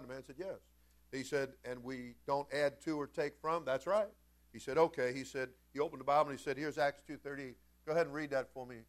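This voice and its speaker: A man preaching a sermon as a pastor, folksy and informal, moving between conversational storytelling and emphatic, animated delivery. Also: on the high side. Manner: animated, swinging from quiet conversational asides to loud emphatic shouts. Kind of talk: Pentecostal-style sermon with storytelling.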